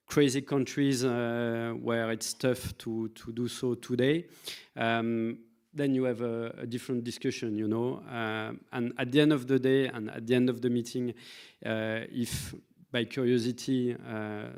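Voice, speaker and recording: A man answering a question on a conference panel in a measured, persuasive way. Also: medium pitch, darkish tone.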